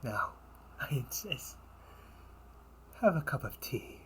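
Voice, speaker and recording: A man's voice: mid-range voice, big pitch swings, a little hiss or hum. A man acting a silky, scheming crime-family older brother. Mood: satisfied, smug. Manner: silky, teasing, unhurried, theatrical. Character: smooth, scheming older brother, crime syndicate information broker. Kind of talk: audio roleplay acting.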